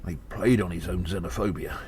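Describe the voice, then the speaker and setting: A man playing a weary gnome investigator in an audio drama, musing haltingly and deliberately with breathy sighs. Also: deep voice, fairly even intonation, a little mellow, natural voice. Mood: grave, pondering. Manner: slow, deliberate, halting, pondering. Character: weary gnome investigator reporting on a spy conspiracy. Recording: faint background noise.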